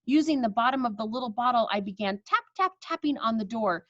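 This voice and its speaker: Read-aloud narrator: woman, higher register, very lively delivery for children, modest slightly dull home-grade sound. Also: fairly quick, no echo. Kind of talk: expressive children's story narration.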